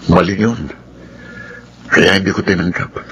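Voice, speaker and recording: An actor playing an earnest, principled male lawyer in a Filipino radio drama, speaking deliberately. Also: low-pitched, fairly even intonation, a bit bright, slight background noise. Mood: earnest, firm. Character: principled, earnest male lawyer telling his life story.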